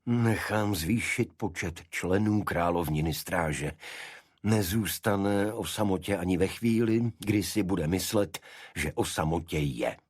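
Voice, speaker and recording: A man's voice: deep voice, slightly rough. A man voicing a formal, serious Victorian government official in an audiobook detective story. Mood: grave, assured. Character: grave, authoritative male Victorian government official, detective's brother.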